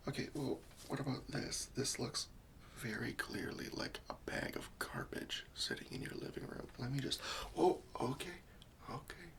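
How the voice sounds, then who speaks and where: A man playing a gentle, patient, faintly wry professional cleanup worker in a softly whispered, hesitant ASMR roleplay. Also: medium pitch, expressive, moderate pace, gravelly. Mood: wry, skeptical, then calm, soothing. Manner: soft, hesitant, soothing, politely tactful.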